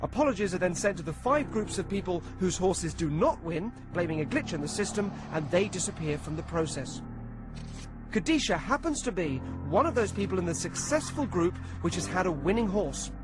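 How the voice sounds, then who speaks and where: Casting: male host, measured and explanatory, fairly high voice, music bed under him. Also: big pitch swings. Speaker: show host and presenter. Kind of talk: scripted narration and on-location talk.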